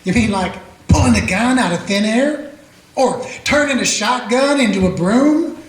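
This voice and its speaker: A man playing a steady small-town Old West lawman in a radio play, telling the story plainly in the first person. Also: extremely high pitch, normal speed. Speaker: narrator and lawman character. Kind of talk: amateur radio play acting. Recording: echoey room with guitar music.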